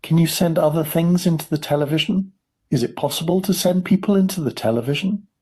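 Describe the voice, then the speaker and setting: Man doing an eager, high-voiced boy. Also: huge pitch swings, normal speed, gravelly, mellow, husky, exaggerated voice. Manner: eager, exclaiming. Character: eager, pushy young boy obsessed with television.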